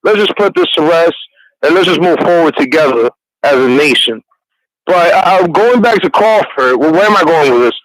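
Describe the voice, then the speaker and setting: A man's voice: on the high side, lively intonation, moderate pace, no echo, low-quality recording. A man in his twenties from New York City, calling in to chat casually and rambling with many restarts. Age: 20s. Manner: rambling, restarts often, laughs easily. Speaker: caller. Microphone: phone call.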